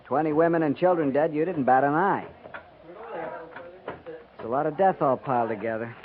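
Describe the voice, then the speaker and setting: An American actor playing an earnest, troubled young cavalry lieutenant in a 1950s radio western, questioning and dutiful. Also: male voice, a little high, normal speed, close to an everyday voice. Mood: shaken, earnest. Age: young adult. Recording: tinny old radio recording, busy background.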